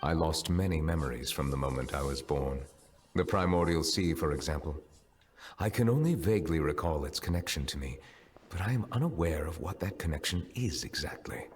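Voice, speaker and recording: An actor playing a solemn, long-lived dragon in human form who serves as chief justice, speaking formally and with measured reserve in a video game. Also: male voice, very deep voice, expressive. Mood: pensive. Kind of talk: scripted game dialogue.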